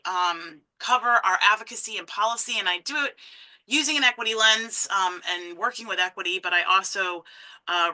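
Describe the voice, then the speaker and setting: A woman's voice: medium pitch, rough recording. A woman in her thirties from Massachusetts, a conservation director giving a personal talk full of ums and restarts. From Massachusetts, USA. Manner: warm storytelling with frequent ums and restarts.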